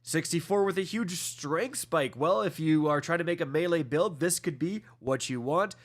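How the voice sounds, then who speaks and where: A man with a congested nose giving a casual, enthusiastic review of items in a video game, often calling out to viewers as guys. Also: fairly high pitch. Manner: quick, enthusiastic, fillers like guys and uh. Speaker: gaming video host. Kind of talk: casual gameplay review commentary.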